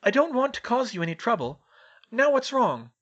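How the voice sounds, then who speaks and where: A narrator voicing a clever teenage girl witch who speaks gently and politely. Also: medium pitch, sing-song, moderate pace, muffled recording, pitched above the performer's own voice, lightly acted. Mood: gentle.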